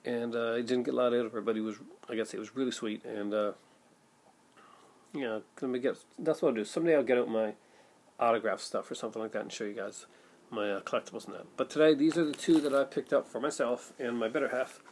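A man's voice: mid-range voice, fast, darkish tone. A middle-aged man vlogging casually to camera at home, rambling with many fillers and restarts. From Canada. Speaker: vlogger, film collector. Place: home room with shelves of films, indoors. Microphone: built-in webcam mic at desk.

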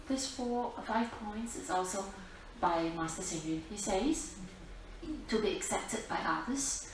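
A woman giving a dharma talk to a group, speaking deliberately, repeating herself and putting questions to her listeners. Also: medium pitch. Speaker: Buddhist nun, teacher. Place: library-like meeting room, talk to an audience. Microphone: distant camera at back of room. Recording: echoey room, distant mic, faint noise.

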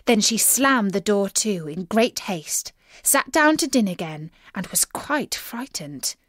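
A woman narrates a children's fairy tale at an unhurried pace. Her pitch moves up and down in a lively, expressive way, and the recording is dry and close. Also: no room sound. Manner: expressive, deliberate read-aloud. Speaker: storyteller.

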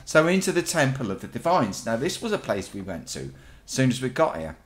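A man hosting a game playthrough, a bit croaky from illness, rambling and chatty as he thinks aloud. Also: mid-range voice, sing-song, brisk. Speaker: let's play host. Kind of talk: casual gameplay commentary.

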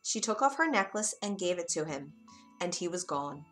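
Woman, bedtime story narrator, animated pitch, soft music. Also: normal speed. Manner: expressive, gentle storytelling. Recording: soft music bed behind.

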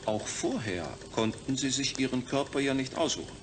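An actor playing a reassuring, faintly stern institute doctor in a German radio play. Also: male voice, medium pitch, expressive, normal speed, natural voice. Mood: smooth, reassuring.

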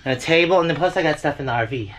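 A woman's voice: low voice, very animated, fast, a crowd in the background. A woman in her forties chatting casually to a handheld camera while driving and walking outdoors. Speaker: RV vlogger. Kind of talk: casual vlog chat. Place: outdoors and in a truck. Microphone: camera at arm's length. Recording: muffled with loud road noise.

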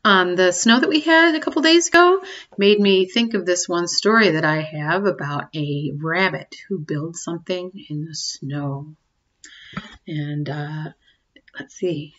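A woman in her forties reads a picture book to small children in a slightly low voice. She takes it very slowly, stopping to ask questions and comment in an expressive, child-directed way. The sound is a little dull, as from a webcam in a room at home. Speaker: teacher reading aloud to young children. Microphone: webcam or laptop mic at desk distance.

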